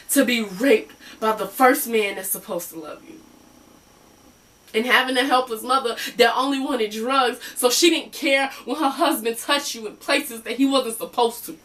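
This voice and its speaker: A woman's voice: a little high, fairly bright tone, distant mic, voice pushed up. A woman performing a dramatic monologue as a broken, guarded therapy patient, confrontational, raw and sarcastic.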